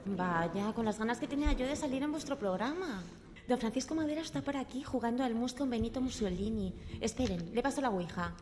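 A woman putting on an eager, chatty ghost of a noblewoman for a radio comedy bit. Her voice carries light reverb. A soft ambience hums underneath. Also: a little high, lively intonation, brisk, a little hiss or hum, lightly acted.

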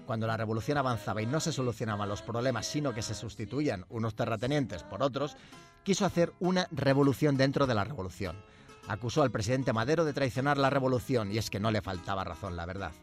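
A man's voice: medium pitch, expressive, fast talker, soft background music. A man presenting a humorous history segment, reading a script with wry, ironic asides.

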